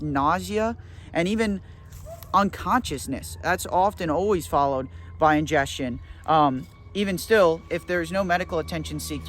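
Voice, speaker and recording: A young man hosting a mock survival show outdoors, talking in a deadpan, boastful, rambling way. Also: fairly high pitch, a little hiss or hum. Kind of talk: deadpan comedic parody narration. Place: outdoors in nature.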